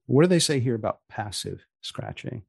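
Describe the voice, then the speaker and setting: A man hosting a podcast, putting questions to a guest in a deliberate, wry, halting conversational way. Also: mid-range voice, big pitch swings, creaky, darkish tone, breathy edge, no echo, clean studio sound. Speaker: podcast host interviewing a guest.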